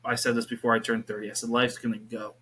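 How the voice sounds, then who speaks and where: A man's voice: mid-range voice, little pitch movement, quick pace, fairly bright tone, a little hiss or hum. A man in his thirties, a youth pastor, talking earnestly as a podcast guest and rambling with many restarts. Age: 30s. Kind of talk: casual faith conversation.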